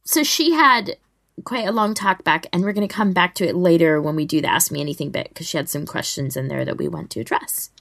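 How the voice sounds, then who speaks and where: A woman co-hosting a podcast, chatting in an informal and animated way. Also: lowish voice, a little fast, gravelly. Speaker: podcast co-host. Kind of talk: casual podcast chat.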